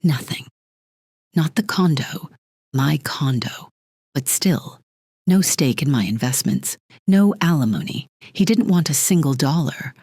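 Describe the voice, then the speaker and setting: A woman acting an icy, low-voiced female executive. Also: lively intonation, darkish tone, husky, dry recording, clean studio sound, heavily acted. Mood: unsettled, analytical. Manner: clipped, deliberate, imperious. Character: cold, controlling female corporate executive, divorced, armor cracking. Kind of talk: dramatic audio drama monologue.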